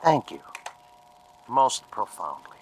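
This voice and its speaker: A man's voice: mid-range voice, sing-song, takes it slowly, a little hiss or hum. A man playing a polite, methodical scientist in a radio drama, formal and precise as he gives instructions. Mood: probing, courteous.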